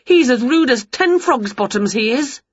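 A woman reading a storybook aloud, voicing an excitable, scatterbrained female witch in a shrill, exclaiming voice. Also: moderate pace, breathy edge, dry recording, dull audio. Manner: shrill, exclaiming, squeaky high.

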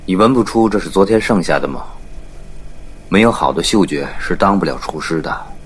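An actor plays an exacting star chef, a man with a deep, low voice. He is cold and terse, with the aloof delivery of an urban radio drama lead. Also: expressive, normal speed, a little hiss or hum. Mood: cold, stern. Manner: curt, terse. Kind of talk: modern urban audio drama acting.

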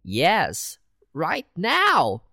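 A woman voicing a boastful, worldly city mouse in a children's storybook reading, with a slow, bouncy delivery. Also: huge pitch swings, gravelly, bright, forceful tone, no echo, studio quality, full character voice. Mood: eager. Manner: slow, bouncy storybook voice with big pitch swings.

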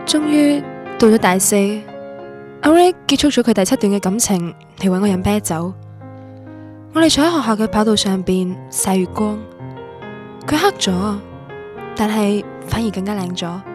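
An actress playing a young student looking back on lost love, narrating reflectively in Guangdong Cantonese in a radio drama. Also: female voice, medium pitch, normal speed, long pauses, natural voice. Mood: wistful. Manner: wistful, reflective, literary. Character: wistful young woman student recalling lost first love. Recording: music bed under voice.

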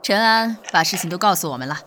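A woman's voice: fairly low pitch, expressive, fast talker, a bit bright, a little hiss or hum. A woman playing a playful, grumbling older master calligrapher in a Chinese period audio drama. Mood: teasing, grumbling. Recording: music behind the voice.

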